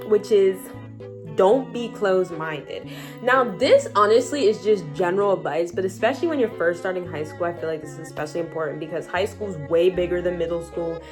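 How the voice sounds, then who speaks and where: A teenage girl giving casual high school advice in a chatty vlog, saying "like" a lot. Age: teens. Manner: chatty, heavy use of "like", animated asides. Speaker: high school student vlogger.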